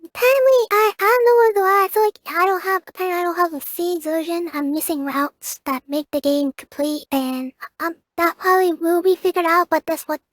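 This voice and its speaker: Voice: very high voice, brisk, fairly bright tone, dry recording. A teenager hosting a gameplay video with casual, hesitant commentary that often repeats and rephrases.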